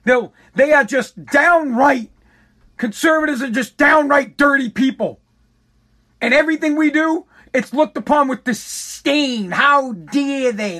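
A man hosting a podcast with a hoarse, worn-out voice, delivering a bombastic comedic rant full of stammers and repeated words. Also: very high voice, sharp tone. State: hoarse, lost voice. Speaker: podcast host.

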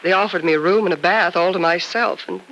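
An actress playing a polite, practical, independent nurse in an old-time radio soap opera. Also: female voice, lowish voice, fast, fairly bright tone. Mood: polite, matter-of-fact. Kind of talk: 1940s-50s radio soap opera acting. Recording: noisy vintage radio recording.

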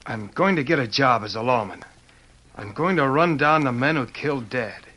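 A man's voice: medium pitch, moderate pace, bright, forceful tone, a little hiss or hum, close to an everyday voice. An actor playing an earnest, dutiful young man, the protective son in an old-time radio western. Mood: protesting, determined. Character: earnest, protective grown son of a slain marshal. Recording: vintage radio drama recording.

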